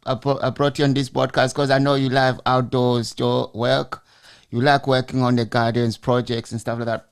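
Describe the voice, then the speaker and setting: A man's voice: mid-range voice, smooth. A man in his forties hosting a podcast over a video call. He asks long, winding questions and often restarts mid-sentence. He speaks into a large studio mic at home. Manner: rambling questions, frequent restarts. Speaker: podcast host. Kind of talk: casual interview. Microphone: large studio mic on arm.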